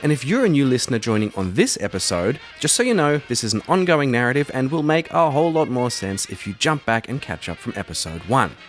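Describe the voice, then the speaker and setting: A man hosting and narrating a solo role-playing audio drama, moving between scripted storytelling and casual commentary. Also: mid-range voice.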